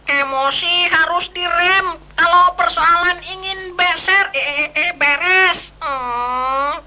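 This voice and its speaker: A woman doing a cheeky squirrel, high and bright. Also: slow pace, exaggerated voice. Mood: teasing. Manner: teasing, giggly, slips on words.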